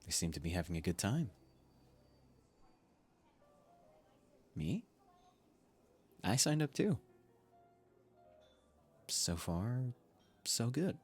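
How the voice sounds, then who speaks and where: A man acting as a sweet, eager young guy on a meet-cute date in an intimate ASMR audio drama. His voice is very low and husky, and he rambles in a gentle, personable way. Soft music plays along with him. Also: big pitch swings, slow pace, pauses often, full character voice. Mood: friendly, chatty. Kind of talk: ASMR roleplay, intimate scripted romance acting.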